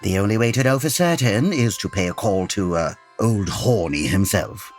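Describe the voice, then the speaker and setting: A man playing a learned, eager gentleman detective, formal and theatrical, in a comedy audio drama. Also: on the low side, animated, normal speed, soft background music, natural voice. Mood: storytelling, eager. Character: learned, eager, gentlemanly Victorian detective, patient but exasperated.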